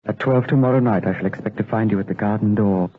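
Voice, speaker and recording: An actor playing an earnest secret lover in a gothic radio drama, delivering a letter in measured, formal words. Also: male voice, fairly low pitch, close to an everyday voice. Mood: earnest, secretive. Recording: tinny, faintly noisy old radio.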